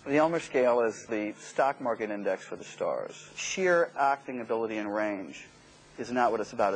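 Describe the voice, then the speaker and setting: A man's voice: mid-range voice, slight background noise, rough recording. A man giving expert interview commentary as he explains things. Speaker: interviewee, star-ranking expert.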